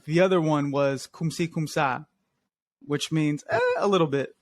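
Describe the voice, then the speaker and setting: A man's voice: a little high, normal speed, no room sound. A young man co-hosting a podcast in casual chat, laughing often and swearing casually. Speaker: podcast co-host.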